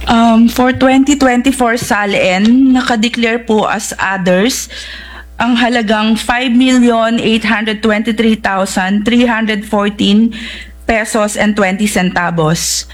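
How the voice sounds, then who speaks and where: A woman's voice: a little high, measured pace, rough recording. Woman reading figures as witness. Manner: steady, respectful, reads long numbers. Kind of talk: formal testimony reading figures from records.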